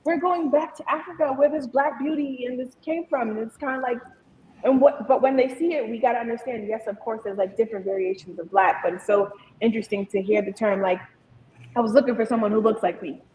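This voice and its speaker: A woman's voice: a little high, fairly quick, darkish tone, reverberant, big-room sound, slight background noise, rough recording. A young woman co-hosting a podcast, talking animatedly and enthusiastically, with many "like" fillers. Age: young adult. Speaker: podcast co-host. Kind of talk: casual podcast conversation.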